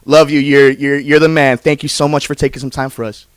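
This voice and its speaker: A man's voice: mid-range voice, flat delivery, brisk, no room sound. Effusive American man hosting a podcast. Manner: effusive, earnest. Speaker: podcast host and interviewer. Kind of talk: casual podcast interview and sign-off.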